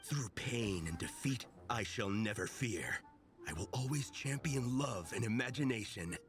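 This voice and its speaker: An actor playing a zealous, grandiose young fan club member in a video game, declaiming pompously. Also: male voice, mid-range voice, lively intonation, a little slow, music in the background, natural voice. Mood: solemn, fervent.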